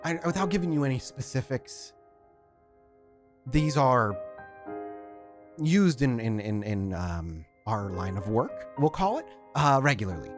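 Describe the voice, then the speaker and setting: A man's voice: medium pitch, big pitch swings, unhurried, pauses often, over music. A man voicing a nervous young male apprentice sorcerer who stammers and hesitates, with many fillers and restarts. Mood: uneasy, explaining. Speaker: storyteller voicing a character.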